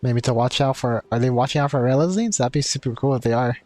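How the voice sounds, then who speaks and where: A man's voice: medium pitch, fast talker, music in the background. A man giving casual, slangy, easygoing live commentary as a game streamer.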